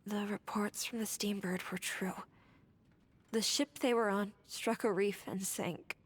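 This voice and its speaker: A woman voicing a grieving young woman who speaks in a hesitant, halting way. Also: mid-range voice, fairly even intonation, husky. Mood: sorrowful.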